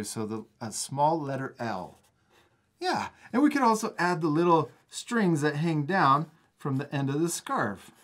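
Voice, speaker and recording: A man's voice: on the high side, fairly bright tone. A man hosting a step-by-step drawing lesson for children in a casual, encouraging manner. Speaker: art teacher and host.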